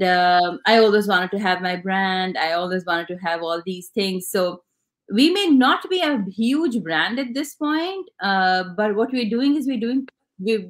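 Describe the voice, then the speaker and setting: A woman with a Pakistani accent, a fashion designer speaking as an interview guest, hesitant with many fillers and restarts. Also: medium pitch. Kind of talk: casual interview talk.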